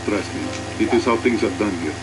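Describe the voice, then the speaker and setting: A man's voice: on the low side, brisk, over music, lightly acted, poor audio. A man playing a confident military man who gives guarded, practical advice in video game cutscene dialogue. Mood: assured, cautioning. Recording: loud engine-like noise behind dialogue.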